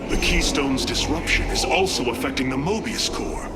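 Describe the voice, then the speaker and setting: Man as a noble, animated alien commander. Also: mid-range voice, normal speed, close to an everyday voice. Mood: resolute. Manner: formal, stately, commanding. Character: noble, commanding alien military leader, male, resolute. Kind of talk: video game voice acting. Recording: loud music behind studio voice.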